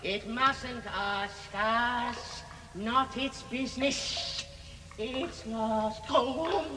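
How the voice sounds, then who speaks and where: A man's voice: extremely high pitch, extremely slow, pushed and bright, faint music behind, heavily acted. A man playing a wretched, greedy, wicked cave creature in a vintage radio drama, hissing and whining as he talks of himself as "we". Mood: anguished. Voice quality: hissing, whispery. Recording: noisy old radio recording, long cavernous reverb.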